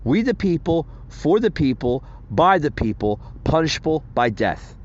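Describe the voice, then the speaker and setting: A man's voice: a little high, very animated, moderate pace, dull audio. A man hosting a podcast, giving impassioned solo political commentary and often calling out to his listeners as folks. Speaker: podcast host.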